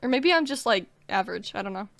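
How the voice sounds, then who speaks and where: A woman giving excited, dramatic reaction commentary to a video game, chatting casually and often exclaiming. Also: medium pitch, quick pace, gravelly. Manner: dramatic, exclaims and shouts, emotional. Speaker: gaming content creator reacting to a game.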